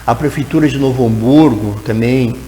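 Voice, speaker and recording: A man's voice: mid-range voice, normal speed, warm tone, echoey room, big-room sound, a little hiss or hum. A man, a guest educator answering in a TV interview, explaining at length and tagging his sentences with "né?". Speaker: guest educator in teacher training.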